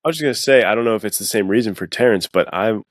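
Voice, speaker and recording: A man with a low voice talks casually as a podcast guest. He is enthusiastic and slangy and readily puts himself down. The sound is close and dry. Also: very fast, dry recording. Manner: enthusiastic, slangy, self-deprecating. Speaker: fiction author on a podcast. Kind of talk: casual chat.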